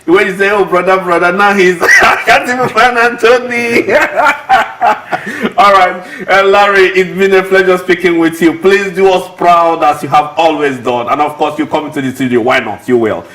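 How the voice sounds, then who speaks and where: A man's voice: high-pitched, moderate pace, few pauses, pushed and bright, low-quality recording. A man hosting a live sports talk show, jovial and chatty, laughing often. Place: studio.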